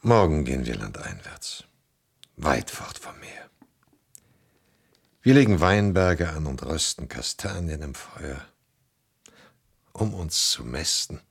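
An actor in a German radio drama playing a weary, grey-haired old hero back from the sea, gravelly and brooding. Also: male voice, a bass voice, sing-song, slow pace, long pauses, exaggerated voice. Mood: wistful resolve. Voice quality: gravelly, husky.

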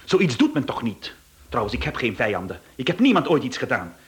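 A man's voice: mid-range voice, huge pitch swings, fairly quick. A man with a Flemish accent playing a nervous, incredulous businessman in a radio drama, hesitant and prone to stammering. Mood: indignant, defensive. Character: nervous, incredulous male businessman, easily flustered.

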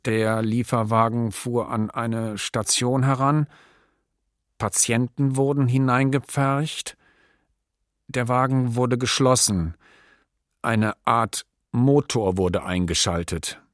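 A man's voice: medium pitch, steady tone, slow, no echo, clean studio sound, lightly acted. A man playing a doctor giving sober, matter-of-fact witness testimony in a radio docudrama. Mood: grave, sober.

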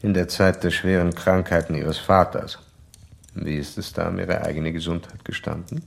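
Casting: early-1900s analyst, very deep register with gravelly fry, formal and clinical, radio drama. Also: male voice, level tone, moderate pace. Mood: clinical, instructing. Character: formal, probing male Viennese psychoanalyst of early 1900s. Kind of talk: German radio drama, letters and dialogue.

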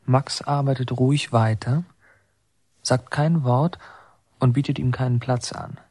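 A man's voice: medium pitch, fairly even intonation, unhurried, warm tone, no echo, close to an everyday voice. A man acting as a watchful son who narrates his childhood in a literary radio drama. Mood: observant, restrained. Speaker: first-person narrator.

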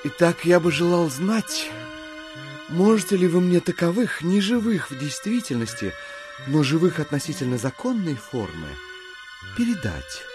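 An actor playing a courteous, calculating gentleman in an audio drama, speaking in formal, measured and insinuatingly polite phrases. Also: fairly high pitch. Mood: polite, probing. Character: smooth-talking, courteous, calculating gentleman schemer. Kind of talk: theatrical radio-play acting.

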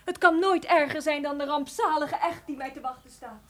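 A woman's voice: sits high, a little fast, natural voice. An actress playing a proud, distressed noble lady, formal and earnest in old radio drama style. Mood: brave resignation.

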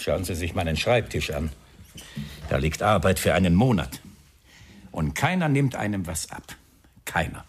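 A man's voice: low voice, expressive, a little hiss or hum. An actor playing a probing, irritable male police inspector in a radio crime drama, weary, sardonic and insistent. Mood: irritable, grumbling. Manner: measured, insistent questioning, grumbling.

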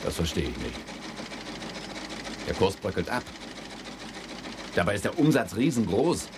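A brash, sly wheeler-dealer in a 1970s German radio play. His delivery is lively and domineering, with mocking joviality, over noticeable background noise. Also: deep voice, measured pace. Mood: impatient, scheming. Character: brash, scheming male commodity speculator, bossy and sardonic.

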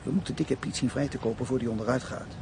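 A gravelly male crime boss played by an actor in a radio thriller, mid-pitched, with faint noise behind. He sounds blunt and scheming, cautious yet ruthless. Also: quick pace, lightly acted. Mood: cold scheming. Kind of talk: crime radio drama acting.

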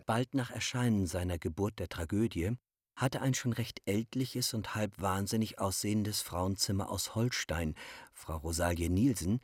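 A man reading scripted literary narration in a radio play in a measured, bookish manner. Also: lowish voice, a little mellow, husky, dry recording, studio quality.

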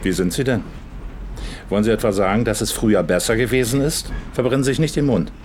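An actor playing a gruff, prying grey-haired man with a menacing streak in a radio drama. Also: on the low side, fast, a little mellow, slight background noise, lightly acted. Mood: threatening.

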